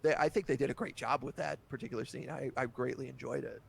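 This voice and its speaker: A man's voice: mid-range voice, fairly even intonation, fast, vocal fry, breathy edge, no echo. A man guesting on a podcast, talking candidly in casual chat and breaking into laughter easily.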